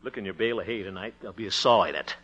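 An actor playing an excitable, good-hearted small-town man in a theatrical 1950s radio comedy. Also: fairly low pitch, racing, highs cut off, loud music behind the voice, close to an everyday voice. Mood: exasperated. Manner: theatrical, exclaiming, teasing. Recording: noisy 1950s radio recording with clicks.

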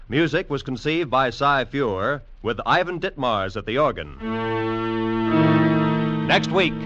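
Bright, forceful American radio announcer, male. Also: mid-range voice, no echo. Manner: formal, polished. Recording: muffled vintage radio, music behind.

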